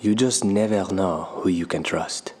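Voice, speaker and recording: A man acting in a radio thriller as a wary, brooding figure who recounts things in a halting, reflective voice-over. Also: sits low. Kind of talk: confessional radio drama voice-over. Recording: music behind.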